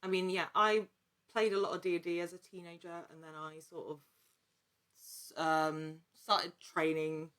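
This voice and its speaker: A woman talking casually as an interview guest, telling her story in an animated, chatty way. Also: fairly low pitch, unhurried, clean tone. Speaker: podcast guest, actor.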